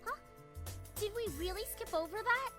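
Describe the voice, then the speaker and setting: A woman voicing a studious, prim teenage schoolgirl in a visual novel, proper and gently chiding. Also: extremely high pitch, animated, moderate pace, voice pushed up. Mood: businesslike. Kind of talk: scripted visual novel dialogue. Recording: music playing behind.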